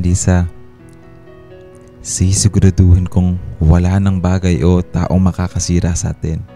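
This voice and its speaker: A man acts a remorseful husband in a Filipino radio drama. His voice is low and extremely warm and dark, with a sincere, apologetic delivery. Music sits faintly behind him. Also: natural voice. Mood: devoted, reassuring. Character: remorseful, devoted husband and father pleading forgiveness.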